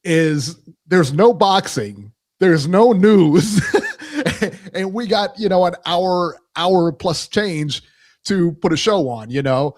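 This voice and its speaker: A man's voice: high-pitched, expressive, slow. A man appearing as a podcast guest, talking loosely about running his boxing channel, with lots of fillers and repeated phrases. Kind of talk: casual podcast chat.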